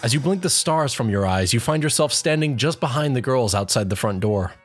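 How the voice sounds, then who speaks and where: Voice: mid-range voice, expressive, a little fast. A narrator reading a scripted comic story in the second person, addressing the listener as the main character. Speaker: fanfic narrator. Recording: music behind the voice.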